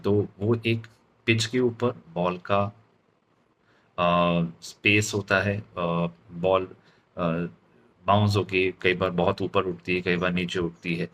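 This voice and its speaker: An Indian man with a low voice teaches like a speaking coach. He takes his time, moving slowly and deliberately and leaning on important words. A faint noise sits behind him. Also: a bit bright. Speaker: public speaking trainer. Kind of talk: instructional lesson mixing Hindi and English.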